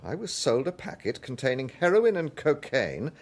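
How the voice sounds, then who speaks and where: An urbane gentleman detective in a British radio drama. His mid-pitched voice has a husky grain and a very mobile melody. He probes courteously, with dry amusement and cool control. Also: very animated, normal speed. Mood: probing, brisk. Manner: courteous, probing, dryly amused. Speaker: lead actor as detective.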